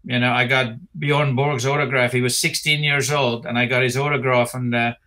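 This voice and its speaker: A bright, clear-toned man in his sixties with a South African accent talks over a video call from a home office. He rambles in a relaxed way, leaning on fillers and restarting his sentences. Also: mid-range voice, moderate pace. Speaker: interview guest, retired tennis player. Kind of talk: casual interview chat. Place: home office with framed pictures.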